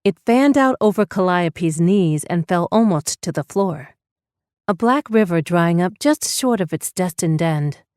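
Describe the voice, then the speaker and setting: Unhurried woman reading a story aloud. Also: fairly low pitch, lively intonation, a little mellow, no room sound, clean studio sound. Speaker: audiobook narrator. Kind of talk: scripted story narration with acted dialogue.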